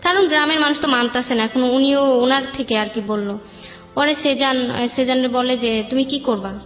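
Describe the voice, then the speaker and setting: High-voiced young Bangladeshi woman telling her story. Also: moderate pace. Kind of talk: personal storytelling in an interview. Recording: dull roomy sound, background music.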